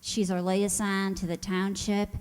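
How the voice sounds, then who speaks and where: Woman, medium pitch, community stage presenter delivering thanks; long hall reverb. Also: little pitch movement, unhurried, clear, resonant tone. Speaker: radio players' member giving thanks from the stage. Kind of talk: live thank-you address to an audience. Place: large indoor township auditorium, on stage. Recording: echoey auditorium with long reverb.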